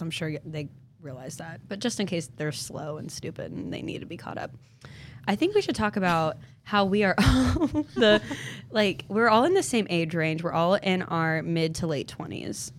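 A woman's voice: fairly low pitch, animated, moderate pace, a little hiss or hum. A woman in her twenties hosting a podcast, chatting casually and giggling often with lots of filler words. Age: 20s. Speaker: podcast host. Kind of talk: casual chat.

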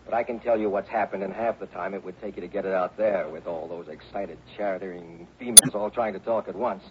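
A man's voice: low-pitched, brisk, close to an everyday voice. An actor playing a harried, chatty American insurance company man in a 1960s radio drama, agitated and exasperated as he talks. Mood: animated, gossipy. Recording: muffled, hissy old radio broadcast.

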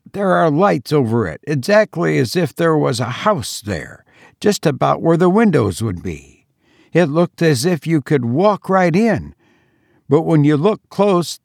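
A man's voice: a little high, vocal fry, darkish tone, dry recording, studio quality, voice pushed up, full character voice. A man reading aloud and voicing a shaken young man who tries to sound unconcerned. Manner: shaky, trying to sound unconcerned.

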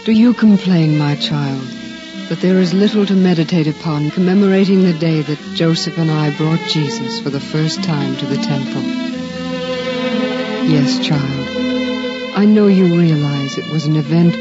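A devout young biblical mother, reverent and tender, in measured, formal 1950s radio drama diction. The old recording is dull and muffled, with music clearly playing underneath. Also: deep voice. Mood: gentle, reflective.

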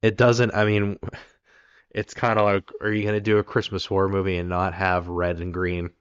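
A man with a very deep voice, rough and raspy from a cold, talks through a film discussion in a loose, rambling way. The sound is dry and a little muffled, with no room around it. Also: little pitch movement, moderate pace, no room sound. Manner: rambling, many ums and restarts. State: raspy from being sick. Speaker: podcast co-host.

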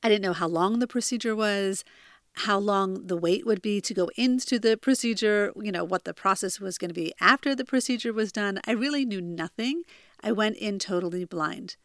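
A woman's voice: medium pitch, normal speed, fairly bright tone, no echo. Chatty American woman podcaster, dry close sound. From New Jersey, US. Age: 40s. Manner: earnest, chatty, confiding. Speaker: podcast host. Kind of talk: personal self-help podcast talk. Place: childhood bedroom.

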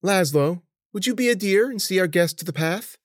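A sly elderly herbalist , as a male narrator puts her on, sweet-talking and patronizing. She coaxes in lively, swooping phrases, in a storybook reading recorded close and dry. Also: high-pitched, normal speed, no room sound, voice pushed up. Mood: coaxing. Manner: coaxing, patronizing endearments.

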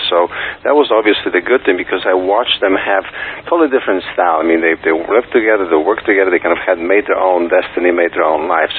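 A man's voice: deep voice, breathy edge. A middle-aged man from Poland with a Polish accent, speaking as an interview guest in a casual, hesitant way with many fillers. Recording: tinny phone line with faint hiss.

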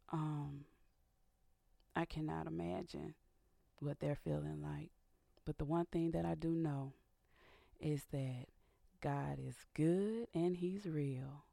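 Raspy woman on a faith radio show, kinda hoarse, dry close sound, gets preachy and fired up. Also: low voice, warm tone, no echo. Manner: earnest, rising to a preacher's fervor. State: sinus trouble, slightly hoarse. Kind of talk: candid faith-based radio talk. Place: radio studio.

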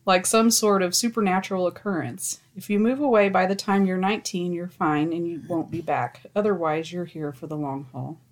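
A woman reading stories aloud in a casual chat, with wry deadpan asides and some swearing. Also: on the low side, moderate pace, hardly any pauses.

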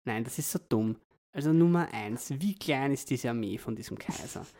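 A man's voice: mid-range voice, expressive, moderate pace, dark tone. A man, a young parent co-hosting a casual podcast, joking and ranting with exaggerated disbelief. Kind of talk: casual chat.

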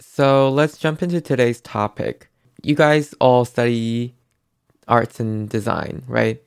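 A man's voice: mid-range voice, steady tone, slow, smooth, no echo, clean studio sound. A teenage boy co-hosting a podcast, reading the intro and questions evenly. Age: teens. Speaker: podcast co-host.

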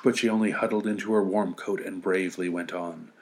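A middle-aged man with a low voice reads a fairy tale to children. His storytelling slips now and then into joking commentary. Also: fairly even intonation, fairly quick, darkish tone. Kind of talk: animated children's story reading with joking asides.

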